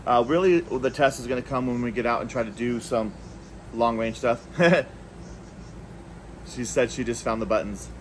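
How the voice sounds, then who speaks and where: A man's voice: medium pitch, moderate pace, pauses often, a little hiss or hum. A man hosting a casual, unscripted product review, talking conversationally and thinking aloud as he goes. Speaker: product reviewer, video host.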